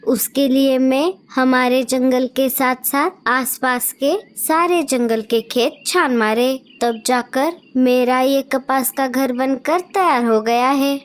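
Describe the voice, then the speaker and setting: A sweet, helpful female bird from a children's cartoon, voiced by a woman in a very high voice. She speaks slowly and kindly, always offering to share. The voice is plainly put on for the cartoon. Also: fairly even intonation. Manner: sweet, slow and eager to help. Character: kind, helpful female birds. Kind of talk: cartoon story voice acting.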